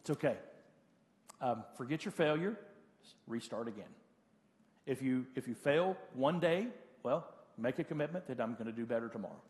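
A man in his late forties preaching a conversational sermon as a pastor, giving practical advice in a halting way and repeating phrases for emphasis. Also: mid-range voice, animated, darkish tone. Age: late 40s. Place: church. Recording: hall reverb of a large room.

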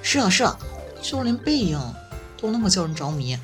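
A woman's voice: mid-range voice, sing-song, fairly quick, lightly acted. A woman voicing a cheeky, boastful college roommate with a teasing, chatty manner in a campus audio drama. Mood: gossipy, smitten. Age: young adult. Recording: music playing behind the voice.

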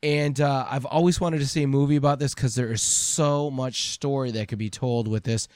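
A man's voice: medium pitch, fairly even intonation, moderate pace, darkish tone, clear, resonant tone, no room sound, studio quality. A man co-hosting a podcast, chatting casually like an enthusiastic nerd. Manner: self-confessed nerdy enthusiast. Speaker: podcast co-host. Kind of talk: casual chat.

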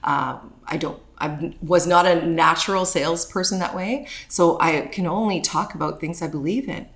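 Hoarse, deep-voiced woman guest. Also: moderate pace. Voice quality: deep, raspy voice. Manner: chatty, warm, many fillers. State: hoarse from talking too much. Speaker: guest, shop and cafe owner. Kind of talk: casual podcast conversation.

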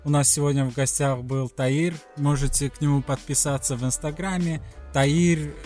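A young man co-hosting a casual podcast, relaxed, with short replies and thanks to the guest. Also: a little high, normal speed, faint music behind. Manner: relaxed, brief backchannel replies. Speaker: podcast co-host.

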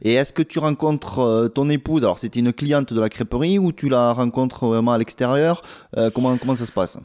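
Male interviewer, relaxed, short prompting questions. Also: medium pitch, fairly quick. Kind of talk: casual interview.

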